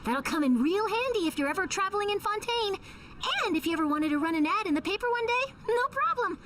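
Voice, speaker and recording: A woman's voice: extremely high pitch, expressive, quick pace, a bit bright, distant mic, slight background noise. A woman voicing an eager, confident young newspaper reporter in a video game, perky, polished and persuasive.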